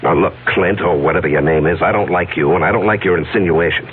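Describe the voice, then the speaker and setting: A curt male executive in his thirties, played by an actor speaks quickly and low. It is radio drama acting with a businesslike snap. The recording is narrow and phone-like, with faint hiss. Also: close to an everyday voice. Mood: indignant, stern.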